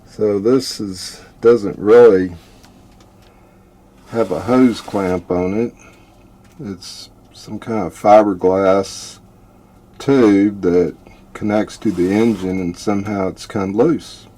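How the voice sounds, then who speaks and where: A man's voice: fairly low pitch, steady tone, slow pace, mellow. A man narrating his own boat engine repair in a casual, matter-of-fact way, sometimes muttering to himself. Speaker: boat owner doing repairs.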